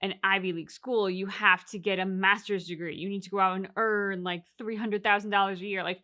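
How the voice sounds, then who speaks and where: A woman's voice: mid-range voice, fairly even intonation, brisk, bright, forceful tone. A woman chatting casually as a reaction co-host, reflecting and explaining her points at length with examples. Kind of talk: casual discussion of a video game story.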